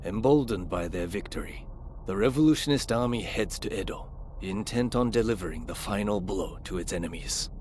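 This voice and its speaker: A man narrating a samurai war as a solemn chronicler, in a grave, measured, formal delivery. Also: on the low side, slight background noise, natural voice. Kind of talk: scripted dramatic historical narration. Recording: loud music behind the voice. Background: music.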